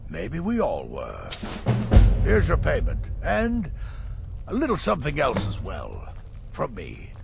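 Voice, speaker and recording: Old male game assassin, very gravelly, slow, theatrical, wry, grudgingly approving. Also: medium pitch, wildly swooping pitch, music in the background, exaggerated voice. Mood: gruff, warm. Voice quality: gravelly, croaky. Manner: theatrical, drawn-out, wry delivery. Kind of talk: video game character dialogue.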